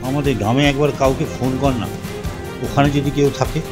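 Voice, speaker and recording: A man's voice: mid-range voice, flat delivery, fast, loud music behind the voice, natural voice. A man voicing an earnest, caring young working man from a village in cartoon dialogue. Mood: worried.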